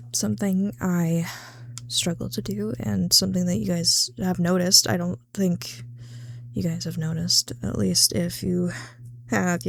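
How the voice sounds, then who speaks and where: A tired woman talks slowly in an ASMR style, drifting between soft speech and whispers. Her voice has a marked creak and sits at an average pitch. She rambles casually to her viewers, amused at herself and loose with swearing. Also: no room sound. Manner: self-amused, giggly, freely swearing. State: tired, late at night. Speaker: ASMR creator.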